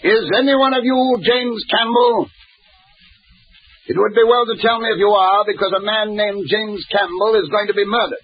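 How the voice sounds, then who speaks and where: An actor playing a grim Scotland Yard inspector in a brooding, authoritative style of 1940s radio detective drama. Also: male voice, high voice, fairly even intonation, normal speed, husky. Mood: stern. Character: grim, determined Scotland Yard police inspector, narrating his case. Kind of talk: 1940s radio detective acting and noir narration. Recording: dull vintage radio recording with music.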